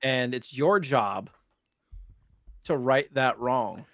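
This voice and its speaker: A man talks casually as a podcast co-host. His voice sits at a middle pitch, and he feels his way through loose, hesitant sentences full of filler. The recording is dry, without room sound. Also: slow pace, fairly bright tone, dry recording. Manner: loose, hesitant phrasing, frequent "like". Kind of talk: casual chat.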